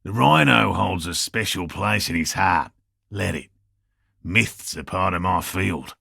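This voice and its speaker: A prickly old field researcher from a video game, his voice deep-set and full of heavy gravel, with a forceful edge. He speaks like a proud academic, exact and curt, every phrase pedantically placed. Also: measured pace, dry recording, studio quality, exaggerated voice. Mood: dismissive, scholarly. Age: older. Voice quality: gravelly, throaty. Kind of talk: video game character dialogue.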